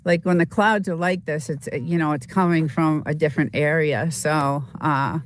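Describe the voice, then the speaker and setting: A woman hosting a casual live stream outdoors in the desert, sniffly with a slight cold, talking in a halting, rambling way full of fillers as she greets viewers. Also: low voice. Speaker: livestream host.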